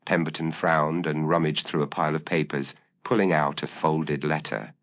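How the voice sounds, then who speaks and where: A man narrating a gothic mystery story in a measured, solemn, dramatic style. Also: extremely low pitch, steady tone, a bit bright. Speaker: audiobook narrator.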